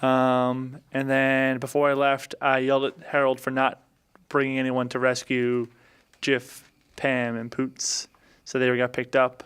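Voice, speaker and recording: A man casually recapping a game adventure as a podcast player, often halting as he searches his memory. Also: mid-range voice, completely flat delivery, a bit bright, clear, resonant tone.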